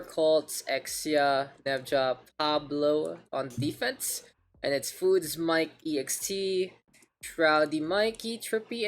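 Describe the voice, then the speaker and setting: A man with a Filipino accent casting an esports match on a live stream, chatty and rambling, often repeating himself. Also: fairly high pitch. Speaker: esports caster.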